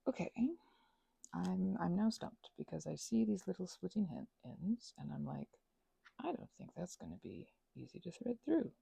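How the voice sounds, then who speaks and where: Rambling woman, soft and slightly husky. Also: on the low side, big pitch swings, dry recording. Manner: playful, whimsical asides to listeners; halting, drifts into murmurs and self-talk. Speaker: ASMR and audio creator. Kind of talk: rambling casual craft chat, thinking aloud.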